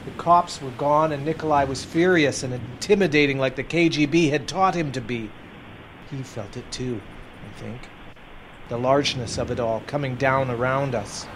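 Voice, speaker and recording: A man's voice: medium pitch, normal speed, a bit bright, natural voice. A man playing a weary homeless man who narrates his story in a reflective, earnest way. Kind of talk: first-person drama narration. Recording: noisy background hiss.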